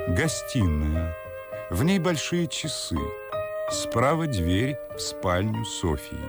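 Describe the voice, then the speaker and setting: Deep-voiced male radio drama narrator. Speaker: narrator reading stage directions. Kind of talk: scripted radio drama narration, measured and theatrical. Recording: radio narration over loud music.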